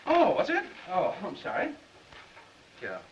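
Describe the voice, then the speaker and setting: An American actor playing a cheerful, fast-talking young newspaper reporter who bluffs with glib, eager patter in a 1930s film. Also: male voice, high-pitched, huge pitch swings, slight background noise, exaggerated voice. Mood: nervously jovial. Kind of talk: 1930s film comedy-drama acting. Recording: muffled, band-limited 1930s film soundtrack.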